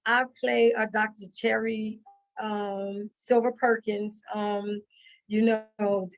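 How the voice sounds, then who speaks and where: A mature woman from the United States chatting casually as an interview guest, telling stories with quoted asides and halting starts. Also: mid-range voice, flat delivery, highs cut off. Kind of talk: casual chat, storytelling.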